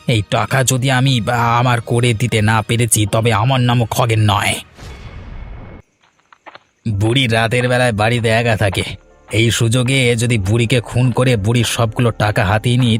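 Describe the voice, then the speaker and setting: A man's voice: mid-range voice, close to an everyday voice. A man voicing a greedy, resentful village villain in a cartoon, grumbling and menacing.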